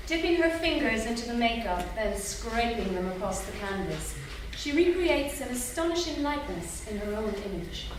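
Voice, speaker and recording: A woman reading stage directions as scripted narration at a staged reading in a theatre. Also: on the high side. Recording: echoey theatre from far off, noisy.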